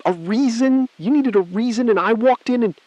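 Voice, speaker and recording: A man's voice: extremely high pitch, darkish tone, no room sound, highs cut off. Actor as a desperate, intense male bank robber. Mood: agitated, pleading. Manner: intense, halting, confrontational. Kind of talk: scripted audio thriller acting.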